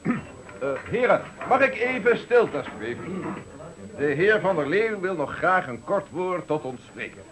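A man's voice: sits high, big pitch swings, a little slow, crowd noise, poor audio. A man playing a pompous, patronizing company director, speaking with unctuous ceremony in a Dutch radio play. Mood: jovially patronizing. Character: pompous, patronizing male company director giving farewell speech. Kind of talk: Dutch radio play, formal speech acting.

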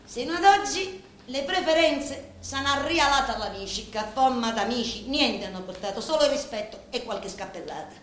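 An actress playing a grave Sicilian woman confiding in a mafia boss, in a slow, deliberate theatrical monologue with a Sicilian accent. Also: high voice, expressive, fairly bright tone. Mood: measured, confiding. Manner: slow, deliberate, brooding storytelling. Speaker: stage actress in a radio drama. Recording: roomy echo, noisy background.

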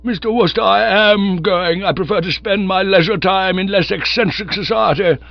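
A man doing a pompous old gentleman, high and stiff. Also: normal speed, creaky, no echo, highs cut off. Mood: outraged. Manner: stiff, formal, indignant. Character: pompous elderly male nerve specialist.

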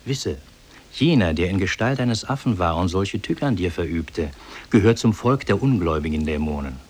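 An actor playing a solemn, benevolent demon in human form, speaking formally and with measured declamation in a 1950s radio drama. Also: male voice, sits low, lively intonation, darkish tone, slightly rough. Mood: grave, instructing.

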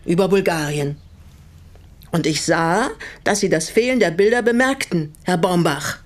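An actress playing a guarded, quietly reproachful older woman in a radio play, speaking in a measured, pointed way. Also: lowish voice, close to an everyday voice. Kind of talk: German radio drama dialogue.

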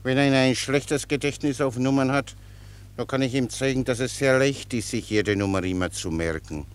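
An actor in a German radio play, playing a cheerful, long-winded common soldier who explains things pedantically with naive obedience. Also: male voice, medium pitch, normal speed, smooth, close to an everyday voice. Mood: patient, explaining. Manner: chatty, rambling, pedantically explanatory.

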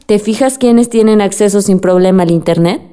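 A woman's voice: mid-range voice, brisk, dark tone, clear, resonant tone, natural voice. A young woman playing an assertive daughter who questions unfair authority in a radio drama. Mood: indignant, questioning.

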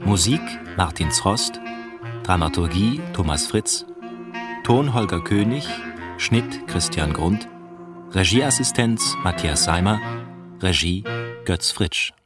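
A man formally announcing radio play credits in a measured, scripted manner. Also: low-pitched, animated. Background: brass music.

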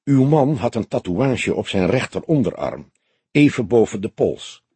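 A man's voice: medium pitch, expressive, mellow, husky, dry recording. A man voicing a weary old police detective who speaks formally and carefully in an audiobook. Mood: probing. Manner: formal, measured, cautious.

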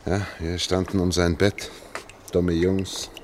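An actor playing an anxious middle-aged engineer in a radio drama, speaking in a hushed, urgent, halting way. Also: male voice, sits low, level tone, background noise. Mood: subdued, reminiscing. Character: anxious male engineer leading an escape through sewers. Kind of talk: German radio drama acting.

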